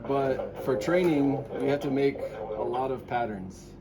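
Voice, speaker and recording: A man's voice: mid-range voice, measured pace, crowd noise. A man, a helicopter pilot, explaining an idea in a casual discussion. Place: airport control tower. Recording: loud background noise on location.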